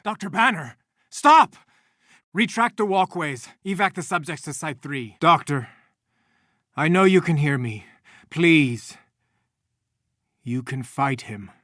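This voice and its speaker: A man's voice: medium pitch, a bit bright, dry recording, studio quality. An actor playing an earnest, anxious male scientist and company chief in a video game, nervy but articulate. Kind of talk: video game character acting.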